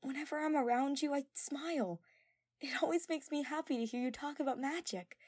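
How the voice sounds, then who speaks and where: Woman voicing an excitable, high-pitched lovestruck girl. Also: fairly quick, no room sound, lightly acted. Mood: flustered, excited. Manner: stammering, gushing, emphatic. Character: excitable, nervous, affectionate young girl in love.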